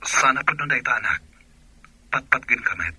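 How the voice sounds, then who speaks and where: A man playing an earnest, concerned father in an Ilocano-accented radio drama, questioning in a rough-edged voice. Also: mid-range voice, lively intonation, moderate pace, pushed and bright, dry recording, exaggerated voice, low-quality recording. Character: concerned father working far from home, earnest. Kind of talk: Filipino radio drama acting.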